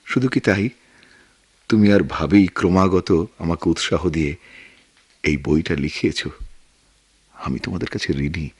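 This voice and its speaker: An earnest war veteran turned writer in a Bangladeshi radio play. His voice is extremely low and husky, with a measured, reflective Bangladeshi-accented delivery in a very dry recording. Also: dry recording, exaggerated voice. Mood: tender, nostalgic. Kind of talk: Bengali radio drama acting.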